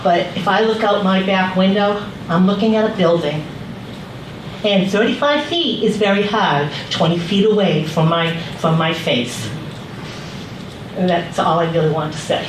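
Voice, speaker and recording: A woman giving a brief public comment at a town meeting, loud and direct. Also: lowish voice, measured pace. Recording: echoey hall with noise, distant mic.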